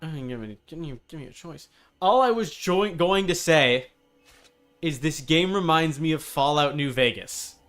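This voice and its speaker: A man's voice: fairly high pitch, lively intonation, moderate pace, fairly bright tone. A man giving casual live commentary as he streams a video game, rambling and easily sidetracked. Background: game music.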